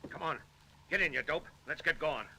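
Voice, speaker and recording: An actor playing a rough, bullying robber in an old-time radio drama, barking curt, slangy orders. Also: male voice, on the high side, moderate pace. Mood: urgent, excited. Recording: noisy, thin old radio recording.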